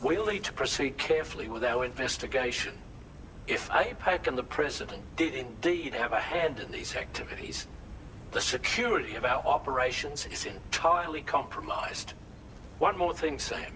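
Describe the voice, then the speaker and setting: An actor playing an earnest, formal male officer giving a measured, precise briefing in a video game. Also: medium pitch, expressive, pushed and bright, recorded from a distance, slight background noise, rough recording. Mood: grave, businesslike. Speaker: mission commander in a game.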